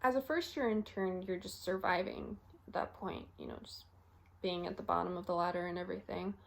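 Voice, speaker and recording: A woman playing a weary, frustrated young first-year medical intern in a halting, confiding, naturalistic audition monologue. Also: lowish voice, steady tone, gravelly, slight background noise. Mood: weary, resigned.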